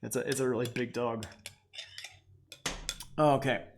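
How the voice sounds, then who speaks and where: A man with a mid-pitched voice walks through a music software lesson as an instructor. He is easygoing and informal, talking his way through each step as he goes. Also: fairly even intonation, unhurried, noisy background. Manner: easygoing, informal, thinking aloud. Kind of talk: casual screen-share software tutorial.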